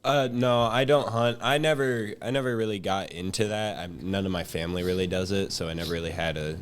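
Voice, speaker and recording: A man's voice: deep voice, a little fast, slight background noise. A young man in his late teens chatting casually as a guest, modest and hedging about himself. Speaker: student guest. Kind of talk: casual chat.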